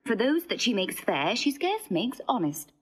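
An actress playing a quick-witted young noblewoman who banters playfully in classical stage style. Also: fairly high pitch, husky. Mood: bantering. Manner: bantering, lively. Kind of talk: classical stage verse acting.

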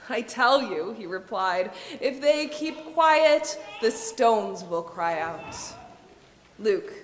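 A young woman reading scripture aloud from a lectern in a church sanctuary, slow and careful. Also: medium pitch, lively intonation, slight background noise. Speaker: scripture reader in a church service. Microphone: lectern microphone. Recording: echoey church with long reverb.

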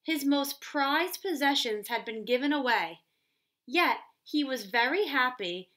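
Slow, bright-voiced young woman teaching children. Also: sits high, animated. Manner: deliberate, explanatory, checks in with "right?". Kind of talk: children's read-aloud lesson. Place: small room at home, indoors.

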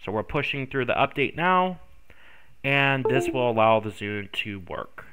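A man's voice: mid-range voice, lively intonation, measured pace, fairly bright tone, slight background noise, rough recording. A congested man hosting a screen-recorded tech tutorial, rambling with many ums and self-corrections. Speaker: tutorial host.

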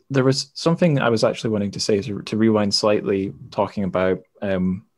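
Male podcast host, lowish voice, casual and discursive, feels his way through sentences with self-corrections. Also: darkish tone.

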